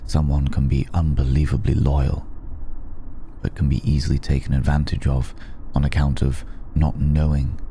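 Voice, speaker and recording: A man playing an ancient, courteous vampire in an intimate ASMR roleplay, patient and seductive, with halting, deliberate, formal phrasing. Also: extremely low pitch, level tone, mellow, a little hiss or hum. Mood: measured, confiding.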